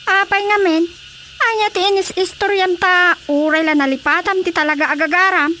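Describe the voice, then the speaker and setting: Worried young-sounding wife, very high voice, pleading, radio drama, faint background noise. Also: female voice, fairly even intonation, normal speed, pushed and bright. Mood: anxious. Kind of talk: Ilocano radio drama acting.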